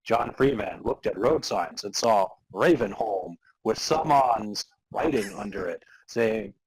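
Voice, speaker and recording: Husky man narrating in mock-serious tones. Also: on the low side, expressive, unhurried, no echo, rough recording. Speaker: podcast host reading as narrator. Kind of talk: dramatic reading of amateur fan fiction.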